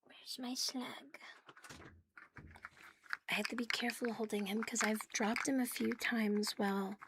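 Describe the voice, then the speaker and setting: A woman hosting a live ASMR stream, chatting casually and playfully in a soft, breathy voice that often slips into a whisper. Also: fairly high pitch, steady tone, a little slow, heavy background noise. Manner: playful, giggly, teasing. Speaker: ASMR livestream host.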